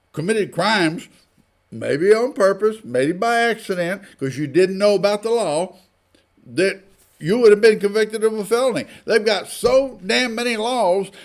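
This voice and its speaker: A man's voice: high-pitched, darkish tone. A man giving informal, opinionated news commentary in a blunt, folksy, indignant manner, often throwing out rhetorical questions. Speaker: online commentator.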